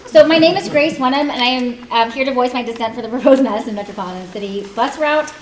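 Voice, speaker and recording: A woman, giving a prepared public comment in a loud, earnest voice. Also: fairly high pitch. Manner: fast, earnest, reads prepared remarks. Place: community meeting hall. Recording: echoey hall, faint room noise.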